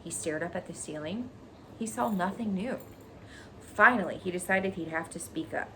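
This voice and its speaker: A woman's voice: lowish voice, normal speed, a bit bright, poor audio. A woman teacher reading a children's story expressively, with casual explanations. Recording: noticeable background noise.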